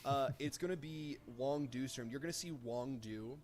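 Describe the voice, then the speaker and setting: A man narrating a live tabletop game as game master, improvising in a halting way as he searches for words. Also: mid-range voice, darkish tone.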